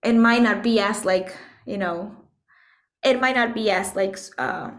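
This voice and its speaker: A woman from Puerto Rico talks in a reflective interview, with a mid-range voice. She thinks as she goes, pausing and searching for the right phrase. The room around her adds a clear echo. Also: mid-range voice. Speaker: interview guest, composer and musician. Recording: echoey room sound.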